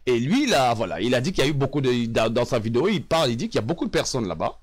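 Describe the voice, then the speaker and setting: A man's voice: mid-range voice, sing-song, very fast, husky. A man hosting a political interview, wordily circling and rephrasing his questions. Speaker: interviewer, show host.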